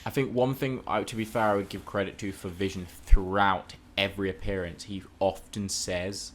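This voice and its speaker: Deep-voiced man, opinionated podcaster. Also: fairly even intonation, moderate pace, a bit bright, a little hiss or hum. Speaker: podcast co-host. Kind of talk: casual film and TV discussion.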